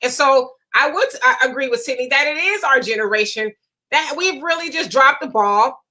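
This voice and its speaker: A woman in her forties co-hosting a casual live discussion, chatty and animated, driving points home with a rhetorical "right?". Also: high voice, normal speed. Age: 40s. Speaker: co-host.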